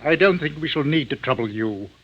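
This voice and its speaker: An actor playing a polite but firm Scotland Yard detective in an old radio drama, formal and insistent. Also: male voice, on the high side, expressive, a little fast. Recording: dull old radio broadcast.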